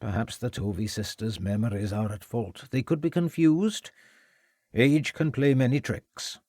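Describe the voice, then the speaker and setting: A man voicing a loud, guarded male headmaster with a Welsh accent. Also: mid-range voice, measured pace, no echo, clean studio sound, lightly acted.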